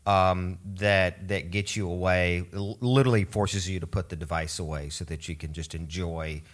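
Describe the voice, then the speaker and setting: Man, camp director, low pitch, earnest, measured. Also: steady tone. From grew up in Switzerland and Oregon. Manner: measured, earnest, some hesitation. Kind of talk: conversational interview.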